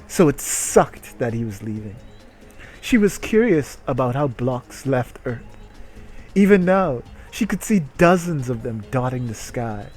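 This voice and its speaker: A man's voice: mid-range voice, a little mellow. A man doing a comedic reading aloud, breaking into casual, profane, exasperated asides. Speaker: streamer reading a story aloud while playing a game. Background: music.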